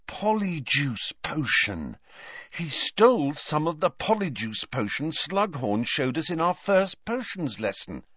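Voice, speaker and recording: Guy reading as an excitable teen wizard boy, insistent, swoopy pitch, storybook style, bit muffled recording. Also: a little slow, breathy edge, dry recording, full character voice. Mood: certain. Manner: eager, insistent.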